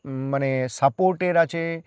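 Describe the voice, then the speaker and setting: A man's voice: on the high side, unhurried, smooth, no room sound, clean studio sound. A man answering conversationally as an interview guest, explaining things and mixing in English words. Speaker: guest, part-time journalist.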